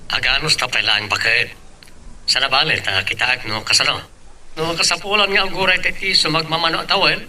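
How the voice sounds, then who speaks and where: A man's voice: a little high, fairly even intonation, fairly quick, sharp tone. A man playing a supportive husband and father working abroad in an amateur Ilocano drama. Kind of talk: Ilocano radio-style family drama acting. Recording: distant mic, background noise.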